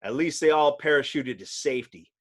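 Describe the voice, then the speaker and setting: A man's voice: fairly high pitch, a little fast, natural voice. A man voicing a cocky, hot-headed young superhero in an earnest, exclamatory way. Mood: rueful, alarmed.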